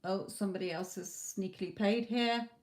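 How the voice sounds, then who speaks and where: A woman giving live tarot readings to viewers, talking reassuringly, her voice going a little hoarse from hours of talking. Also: mid-range voice, normal speed. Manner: reassuring, calls viewers "my lovely". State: losing her voice, a bit hoarse. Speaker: tarot reader.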